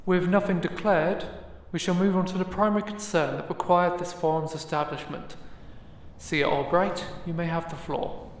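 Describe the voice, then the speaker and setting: An actor playing a formal presiding oracle of a mage council, with a ceremonial, declamatory manner, in an audio drama. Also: male voice, high-pitched, steady tone, moderate pace, a little hiss or hum. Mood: solemn, declamatory. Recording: very echoey hall with long reverb.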